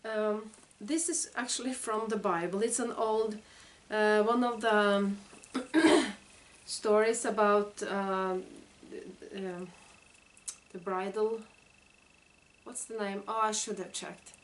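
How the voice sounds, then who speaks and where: A woman's voice: medium pitch, steady tone, takes it slowly. A woman in her forties with a Norwegian accent, a knitting vlogger talking casually to the camera at home, hesitant and often searching for words. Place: living room at home.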